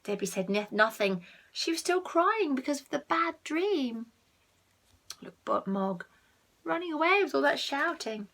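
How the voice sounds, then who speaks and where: A woman reading a picture book aloud to children, expressive, with asides to the listeners and hushed tones for effect. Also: high voice, measured pace, creaky. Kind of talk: animated read-aloud with asides to young listeners.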